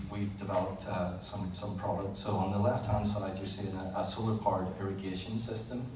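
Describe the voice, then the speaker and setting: Low-voiced Northern Irish man presenting. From Northern Ireland. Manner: plain, a little halting, with frequent "uh" and repeated words. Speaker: engineer presenting at an event. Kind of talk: live presentation to an audience. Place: large event hall. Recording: roomy, distant event recording with background noise.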